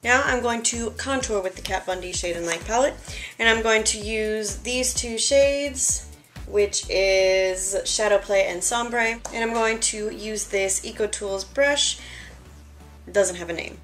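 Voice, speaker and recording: A young woman talks through her makeup routine with a slightly raspy, worn-out voice. Her delivery is chatty and self-deprecating, with little asides as she applies products. She is recorded indoors in her bedroom on a camera close in front of her, with faint music underneath. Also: medium pitch, a little slow, a bit bright. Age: young adult. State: tired. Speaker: beauty vlogger. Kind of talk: casual makeup tutorial. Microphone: camera in front at close range.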